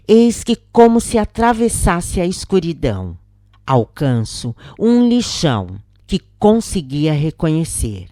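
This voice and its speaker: Brazilian lady reading a solemn dramatic story, deep for a woman, really slow n deliberate, super dry sound. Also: dark tone, dry recording. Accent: Brazilian Portuguese. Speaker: narrator. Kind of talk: dramatic first-person audiobook narration of a spirit's account.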